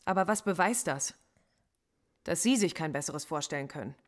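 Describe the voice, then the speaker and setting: A woman's voice: sits low, animated, moderate pace. Defiant, ironic woman professor. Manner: ironic, argumentative, articulate. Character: defiant, intellectual female philosophy professor under interrogation. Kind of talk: German radio play acting.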